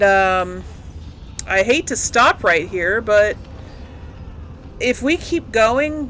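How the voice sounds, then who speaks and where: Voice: medium pitch, sharp tone, slight background noise. A gaming video host giving casual, chatty Let's Play commentary and reacting aloud to the game.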